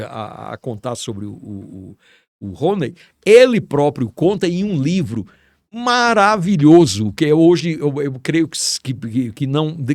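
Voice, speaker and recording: A man's voice: mid-range voice, a little mellow, dry recording, studio quality. A middle-aged man from Ceará, Brazil, a writer telling stories with enthusiasm and admiration as a guest in an interview. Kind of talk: storytelling in a casual interview.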